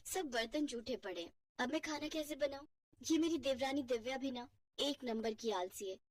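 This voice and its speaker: A woman's voice: sits high, normal speed, recorded from a distance. A woman voicing a bossy, nagging young housewife who scolds huffily in a family cartoon. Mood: irritated. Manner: scolding, huffy. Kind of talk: Hindi family cartoon voice acting.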